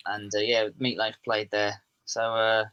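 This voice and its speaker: A man's voice: fairly low pitch, monotone, measured pace, smooth, muffled recording. A man from the southeast of England with an English accent, chatting as a guest and rambling with lots of fillers. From Kent, southeast England. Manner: rambling, with many fillers and restarts. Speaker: musician guest. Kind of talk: casual chat.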